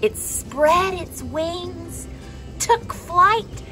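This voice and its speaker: A young adult woman reading a children's picture book aloud expressively, with dramatic pauses and emphasis. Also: extremely high pitch, lively intonation, bright, forceful tone, faint music behind, poor audio. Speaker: storybook reader for a children's church message. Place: outdoors on a wooden deck among trees.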